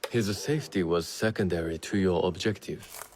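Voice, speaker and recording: An actor playing a resolute, measured samurai lord in a video game, speaking formally and gravely. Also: male voice, sits low, darkish tone, a little hiss or hum, natural voice. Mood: grave, accusing. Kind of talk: dramatic video game acting.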